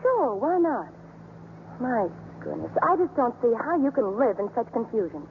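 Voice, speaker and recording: An actress playing a brisk, chatty, opinionated newspaper columnist in a 1950s radio drama. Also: female voice, on the high side, big pitch swings, slight background noise. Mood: fussy, nosy. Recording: muffled 1950s radio broadcast, music behind.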